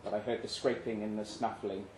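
A man reading literary fiction aloud at a live event, measured and suspenseful, with deliberate pauses. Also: lowish voice, flat delivery, darkish tone. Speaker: story reader. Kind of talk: dramatic literary narration, read aloud live. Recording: roomy live venue, faint background noise.

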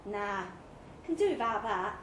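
A man's voice: sits high, sing-song, measured pace, a bit bright, exaggerated voice. A woman voicing a cheeky, cocky young male offender with slangy, teasing talk in a live story reading. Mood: dismissive. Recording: echoey room, clearly noisy live recording.

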